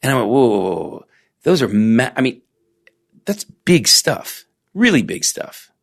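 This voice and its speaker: A male podcast host chatting casually, telling things in an animated way with plenty of fillers. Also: lowish voice, vocal fry. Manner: animated storyteller, lots of fillers. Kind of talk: casual conversation.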